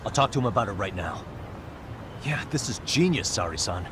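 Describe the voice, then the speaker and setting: A man's voice: lowish voice, animated, brisk, background noise, lightly acted. An actor playing a cool, level-headed investigator in his thirties, speaking matter-of-factly in an English game dub. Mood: businesslike. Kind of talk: English dub video game drama acting.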